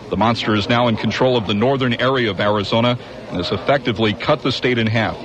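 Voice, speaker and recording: An actor playing a radio news anchor reading urgent bulletins in a radio drama. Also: male voice, mid-range voice, natural voice. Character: steady male news anchor reporting catastrophe.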